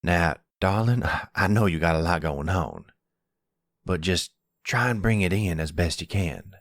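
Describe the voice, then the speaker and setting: A man voicing an older mafia father in an audio roleplay, doting and gruffly protective. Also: deep voice, animated, unhurried, fairly bright tone, no room sound, studio quality, exaggerated voice. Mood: encouraging. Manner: tender to daughter, gruffly threatening to others.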